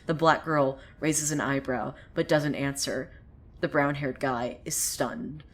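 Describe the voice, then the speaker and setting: A low-pitched actress as a female narrator spins a small-town tale for an audio drama. She is sardonic and opinionated, chatting to the listener as if passing on gossip. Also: steady tone, slow pace. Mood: wry, suspenseful. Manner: sardonic, conversational, swearing asides. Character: opinionated, sardonic female storyteller who gossips about townsfolk. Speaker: narrator of a fiction podcast. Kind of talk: dramatic first-person storytelling.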